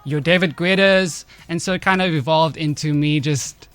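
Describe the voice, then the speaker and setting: A man, a DJ answering interview questions in a laid-back, soft-spoken way. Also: a little high, normal speed, smooth, no room sound. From South Africa. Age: 20s. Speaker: guest, DJ and producer. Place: radio studio.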